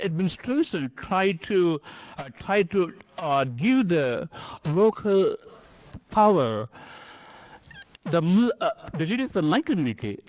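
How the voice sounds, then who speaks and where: A middle-aged man from Sri Lanka whose first language is Tamil, speaking with a Sri Lankan accent and giving an informal conference talk in a conversational, halting way with many repeated words. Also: a little high, darkish tone, dry recording. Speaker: academic presenter.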